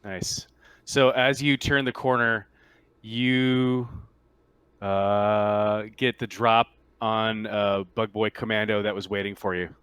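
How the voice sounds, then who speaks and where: Low, clear-voiced male game master. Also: fairly even intonation, slow pace, fairly bright tone, no room sound. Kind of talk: improvised tabletop game narration.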